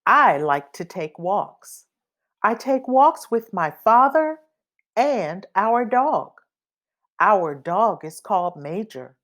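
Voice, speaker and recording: Woman reading a picture book, very unhurried. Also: mid-range voice. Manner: deliberate, expressive, acts out sound effects. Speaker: read-aloud reader. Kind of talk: children's picture book read-aloud.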